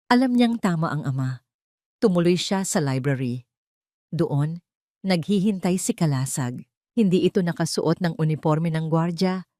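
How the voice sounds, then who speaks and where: Female drama narrator, lowish voice, swooping intonation, dry close sound. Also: a little mellow, no room sound. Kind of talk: dramatic scripted story narration.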